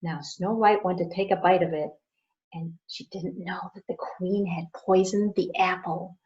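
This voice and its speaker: A woman librarian narrating a fairy tale for children in an expressive storytelling manner. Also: on the low side, a little slow. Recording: dull lo-fi recording.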